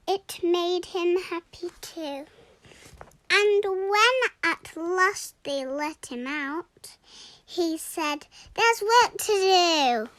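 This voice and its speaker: A young girl reading a rhyming children's storybook aloud in a deliberate, expressive way. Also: very high voice, no echo. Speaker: story reader.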